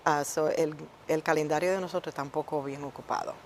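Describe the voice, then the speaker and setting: A woman's voice: deep voice, fairly even intonation, fast, a little hiss or hum. A woman, answering conversationally as a guest judge, hesitating and mixing in English words.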